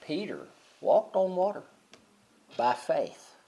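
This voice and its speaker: A middle-aged man preaching a sermon, talking casually and then swelling loud and high on key points. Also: sing-song, slow pace, breathy edge. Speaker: pastor.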